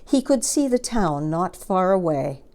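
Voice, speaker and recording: A woman's voice: fairly low pitch, sing-song, unhurried, darkish tone. A woman reading a children's story aloud as a storyteller, expressively and with dramatic emphasis.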